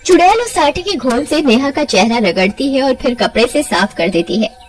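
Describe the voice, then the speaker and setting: A woman storyteller with a high-pitched voice narrates a scripted tale. Faint music plays behind her. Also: animated, moderate pace.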